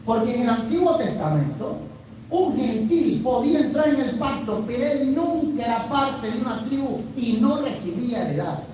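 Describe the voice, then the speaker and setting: A woman giving firm, deliberate scripted commentary and reading scripture slowly. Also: on the high side, sing-song, mellow, a little hiss or hum. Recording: echoey room from a distance.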